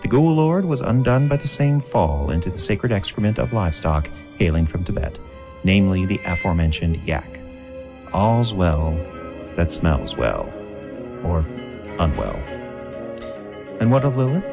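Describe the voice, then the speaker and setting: A man's voice: deep voice, big pitch swings, unhurried, long pauses, heavily acted. A man playing a wry, wisecracking monster-hunting reporter, narrating a radio drama in a sardonic, chatty, mock-formal way. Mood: wry recounting. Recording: music behind the voice.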